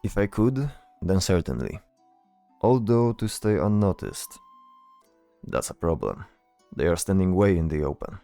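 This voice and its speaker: Man as dutiful soldier, fantasy audio drama. Low, mellow, terse and serious. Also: lively intonation, a little slow, creaky, long pauses, no room sound, faint music behind, full character voice. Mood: doubtful. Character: earnest, dutiful male soldier in fantasy adventure.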